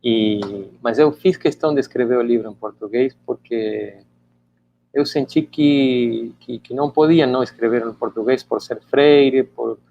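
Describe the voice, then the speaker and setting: A man's voice: medium pitch, unhurried, creaky, dull audio, slight background noise. A middle-aged man whose native language is Spanish, talking reflectively in an interview with many hesitant restarts. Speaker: interviewee, author.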